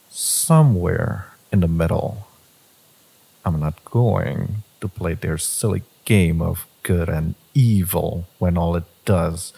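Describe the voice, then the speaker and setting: A man voicing a brooding, devoted fantasy villain in a romance audio roleplay, speaking deliberately in a low, gravelly, husky voice. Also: warm tone, full character voice. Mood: serious, intimate. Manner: slow, deliberate, with pauses and sighs. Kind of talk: dramatic second-person romance audio roleplay.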